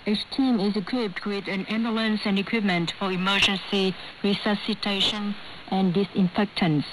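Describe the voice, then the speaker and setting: Over a shortwave broadcast with faint noise, a woman reads the news. Her pitch sits in the middle range for a woman. She delivers scripted copy in the role of a radio newsreader. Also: steady tone, moderate pace, a bit bright, poor audio.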